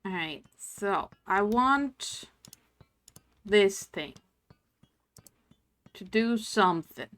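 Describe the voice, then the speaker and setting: A German woman with a mixed English accent, streaming a game and thinking aloud in casual, low-energy chat. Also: lively intonation, a bit bright, faint music behind. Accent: mixed English accent, no clear origin. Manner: slow, thinking aloud while playing, low-energy murmurs.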